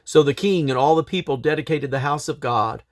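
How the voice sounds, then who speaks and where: A man reading scripture aloud in a solemn, declamatory way, with measured phrases and deliberate pauses. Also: a little high, no echo, studio quality. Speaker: Bible reader.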